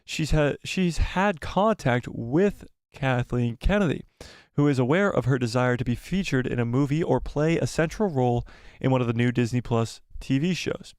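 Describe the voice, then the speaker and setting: A man hosting a live stream, chatting casually and reading out viewer comments. His voice is going hoarse and he rambles and repeats himself as he thinks aloud. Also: mid-range voice, darkish tone, no room sound, clean studio sound. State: losing his voice, coughing. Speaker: livestream host.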